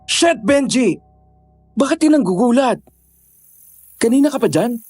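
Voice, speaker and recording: A man's voice: very high-pitched, lively intonation, a little slow, darkish tone, slightly rough, dry recording, faint music behind, exaggerated voice. A young man playing a practical, reassuring boyfriend in a horror audio drama, casual.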